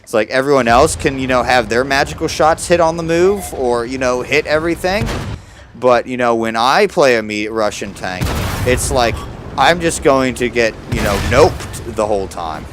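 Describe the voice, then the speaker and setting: A man's voice: medium pitch, animated, normal speed, over music. Grumbling young man streaming a game. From United States. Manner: grumbling, sarcastic, rambling. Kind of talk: casual gameplay commentary.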